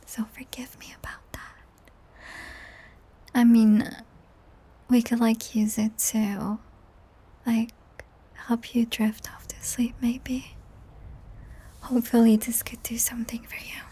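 A woman's voice: a little high, husky. Whispering woman with a strained voice. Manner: soft whispering, rambling with giggles. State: strained, cracked voice. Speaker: podcast host and audio creator. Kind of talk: ASMR whispered chat. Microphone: high-end studio microphone.

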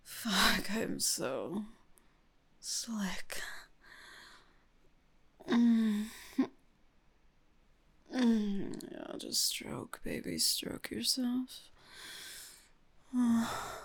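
Young woman, intimate whispered roleplay to the listener, breathy and husky, unhurried, slightly high voice. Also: very animated, a bit bright.

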